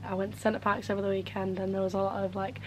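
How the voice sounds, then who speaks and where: A woman's voice: mid-range voice, little pitch movement, very fast, background noise. A woman in her twenties who is losing her voice, chatting casually through a get-ready-with-me video in her bedroom, rambling with lots of "like" and "um". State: losing her voice, a bit hoarse. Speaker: beauty vlogger. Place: bedroom, indoors.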